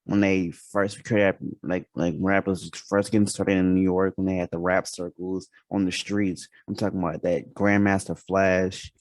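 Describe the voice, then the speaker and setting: A man's voice: low voice, level tone, moderate pace, hardly any pauses, dry recording. A man hosting a casual interview, asking long rambling questions full of fillers and restarts. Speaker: podcast host.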